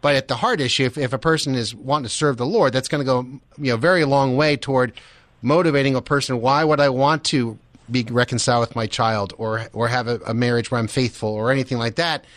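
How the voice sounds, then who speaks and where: A man's voice: medium pitch, fairly quick, a bit bright, dry recording. A man hosting a radio interview in a conversational, encouraging and affirming manner.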